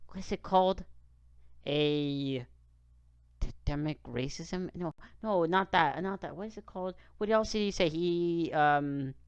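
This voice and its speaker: A man's voice: on the high side, unhurried, clear, resonant tone, no room sound, lo-fi sound. A young man commenting casually on a clip, rambling with many fillers and restarts. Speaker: reaction video host.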